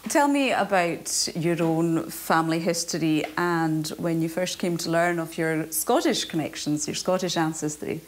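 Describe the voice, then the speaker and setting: Woman interviewer, kinda low voice, calm short questions. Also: moderate pace, few pauses.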